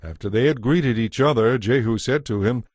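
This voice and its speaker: A man's voice: mid-range voice, moderate pace, a little mellow, dry recording, clean studio sound. A man giving scripted narration for a dramatized audio Bible.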